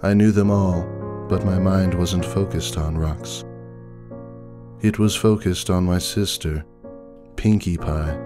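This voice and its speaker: A man voicing a stoic older sister pony, narrating a story in a terse, deadpan way. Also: low-pitched. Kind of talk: dramatic first-person story reading.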